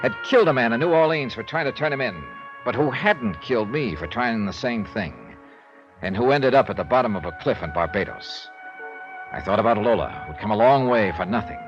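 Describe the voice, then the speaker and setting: An actor playing a world-weary, dogged American insurance investigator in a 1950s detective radio drama, narrating in clipped, terse phrases. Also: male voice, mid-range voice, lively intonation, brisk, close to an everyday voice. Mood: wry, brooding. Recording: muffled vintage radio recording, loud music underneath.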